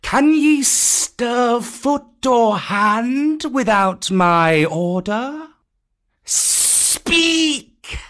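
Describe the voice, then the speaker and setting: A narrator voicing a huge old python, commanding and menacing, with slow, drawn-out hypnotic delivery, in a storybook reading. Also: medium pitch, lively intonation, a bit bright, voice pushed up.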